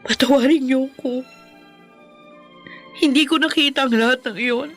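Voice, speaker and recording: An actress playing a meek, apologetic wife with a Filipino accent, deferential and hesitant in a Tagalog radio drama. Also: female voice, high voice, normal speed, fairly bright tone, faint music behind. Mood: tearful, sorry.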